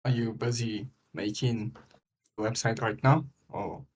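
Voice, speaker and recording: A man's voice: medium pitch, measured pace. A friendly man in a casual chat, curious and asking many questions.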